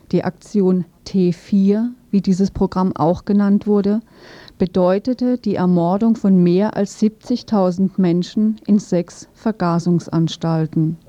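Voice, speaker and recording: Mellow woman reading a radio feature. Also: mid-range voice. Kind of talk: scripted news feature narration, read aloud. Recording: dull, roomy lo-fi radio recording.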